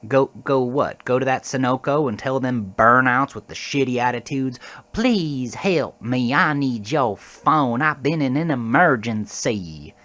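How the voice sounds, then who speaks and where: An actor playing a shaken, defensive American trucker, telling a horror story in a coarse, folksy, rambling way for an audio drama. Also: male voice, medium pitch, animated, unhurried, fairly bright tone. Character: shaken, defensive trucker confessing a horrifying roadside encounter. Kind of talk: dramatic first-person horror audio monologue.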